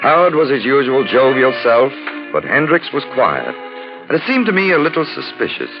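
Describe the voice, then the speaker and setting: An actor playing a suave, erudite gentleman detective in 1940s radio drama, urbane and florid in his phrasing. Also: mid-range voice, big pitch swings, normal speed, over music. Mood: urbane, wry. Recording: dull, noisy vintage 1940s radio recording.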